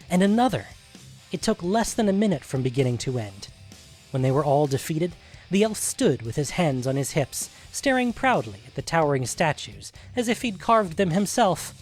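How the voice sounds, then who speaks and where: A man's voice: on the high side, darkish tone. A man reading scripted narration for a fantasy adventure story.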